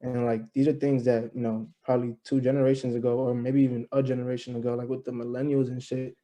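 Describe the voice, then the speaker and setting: A man's voice: mid-range voice, little pitch movement, fast talker, warm tone. A man in his early twenties, a musician guest on a podcast, talking in a loose, slangy way and often adding "you know what I'm saying.". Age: 20s. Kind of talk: casual interview chat.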